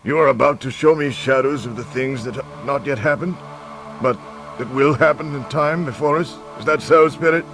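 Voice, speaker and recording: A man's voice: mid-range voice, normal speed, fairly bright tone, soft background music, natural voice. An actor playing an old miser facing his own repentance in a live radio drama, theatrical and given to stammered repetitions. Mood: fearful, pleading.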